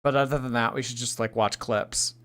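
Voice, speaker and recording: Bright-voiced chatty woman streamer. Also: very deep voice, fairly even intonation, brisk. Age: late 20s. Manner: chatty, teasing, swings from loud to mumbled. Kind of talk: livestream reaction chat.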